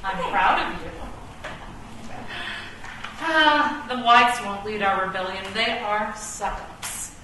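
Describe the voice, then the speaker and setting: A woman's voice: medium pitch, slow, pauses often, bright, forceful tone. A woman playing a defiant, sardonic, bookish chess pawn in a comic radio play, declaiming and correcting wryly. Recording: echoey room, distant mic, noisy background.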